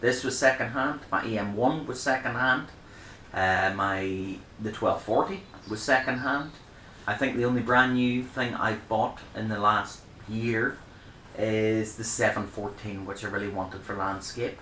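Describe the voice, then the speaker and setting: A man's voice: on the low side, fairly even intonation, a little slow, bright, forceful tone, a little hiss or hum. A middle-aged man in a casual vlog, rambling and chatty with frequent ums as he shows his camera bags. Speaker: vlogger reviewing camera bags. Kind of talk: casual show-and-tell. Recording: echoey room.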